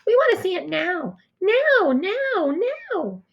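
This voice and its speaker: A woman's voice: very high voice, sing-song, takes it slowly, creaky, lo-fi sound, pitched above the performer's own voice, heavily acted. A woman voicing pushy, clamoring neighborhood children in an audiobook, demanding and repetitive.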